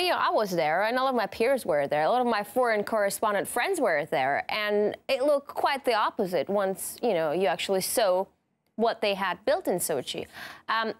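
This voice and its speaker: Young female TV host, poised, probing, studio mic. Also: medium pitch. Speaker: TV interview host. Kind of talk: broadcast interview questions. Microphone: broadcast studio mic.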